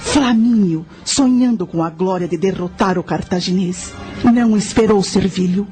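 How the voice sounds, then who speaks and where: A knowing guide in a radio play, voiced by a woman. The voice is medium in pitch, measured and instructive, calmly explaining things while music plays clearly underneath. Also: natural voice. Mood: instructive, composed. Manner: didactic, measured. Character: knowing, composed guide explaining ancient history to companion. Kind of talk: radio drama acting.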